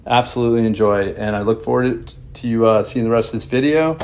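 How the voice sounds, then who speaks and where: A man, a pilot presenting his flight video, talking casually and haltingly as he thinks aloud. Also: medium pitch, a little mellow, a little hiss or hum. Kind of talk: casual recap to camera.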